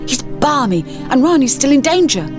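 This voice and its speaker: A cheeky teenage boy, acted by a woman reader, brave and blunt. He exclaims in slangy bursts, pitched high, as an audiobook character with music behind him. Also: sing-song, brisk. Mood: impatient.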